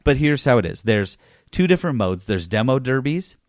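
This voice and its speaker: A man's voice: mid-range voice, sing-song, brisk, no room sound, clean studio sound. A man hosting a casual gaming podcast, telling stories in an enthusiastic, emphatic way. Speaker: podcast host.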